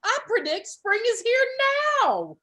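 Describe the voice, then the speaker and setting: A woman voicing a small, boastful male groundhog who gets excited and shouts, reading from a storybook. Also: fairly high pitch, a little slow. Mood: elated shouting. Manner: excited, shouting, voice getting hoarse.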